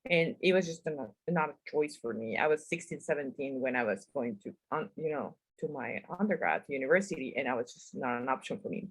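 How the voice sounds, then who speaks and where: A woman's voice: very deep voice, expressive, fairly quick, few pauses, husky, no room sound, rough recording. A woman from northern Mexico with a Mexican accent, a writer answering interview questions in a chatty, self-deprecating way and laughing often. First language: Spanish. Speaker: guest writer.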